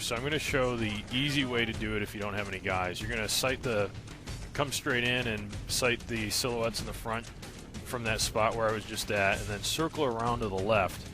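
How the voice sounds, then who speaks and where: A man giving casual, step-by-step instructional commentary over a video game walkthrough. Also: lowish voice. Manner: explanatory, step-by-step. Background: game gunfire and music.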